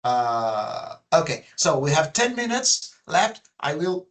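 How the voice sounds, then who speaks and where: A man with a Brazilian accent and a fairly high voice moderates a professional panel online. He speaks in a halting way, often repeating a word before he moves on. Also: very animated, fairly bright tone. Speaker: discussion moderator. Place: online video call.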